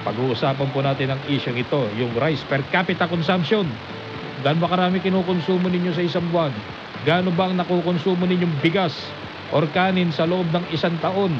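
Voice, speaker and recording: A man's voice: a little high, fairly even intonation, fairly quick. A Filipino man hosting a radio program, talking conversationally and politely to his listeners. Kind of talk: live radio talk, addressing listeners. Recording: dull AM radio reception, music behind. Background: music.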